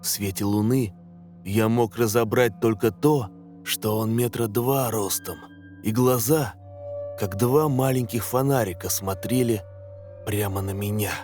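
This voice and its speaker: A man reading a scary story as a dramatic scripted narration, in a measured, suspenseful way. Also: lowish voice, a little mellow. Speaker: narrator of horror stories. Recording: music under the voice. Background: background music.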